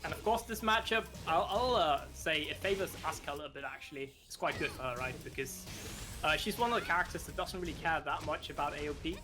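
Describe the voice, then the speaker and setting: A man giving measured, analytical commentary on a fighting-game tournament. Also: on the high side, over music. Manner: analytical, measured, many fillers. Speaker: esports co-commentator.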